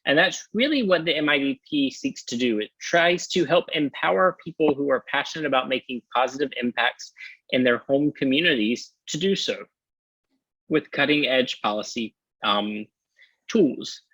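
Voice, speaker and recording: A man's voice: mid-range voice, animated, normal speed, a bit bright, breathy edge, no room sound, lo-fi sound. A man presenting a university program in a webinar over a video call, friendly with frequent ums. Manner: friendly, many filler ums.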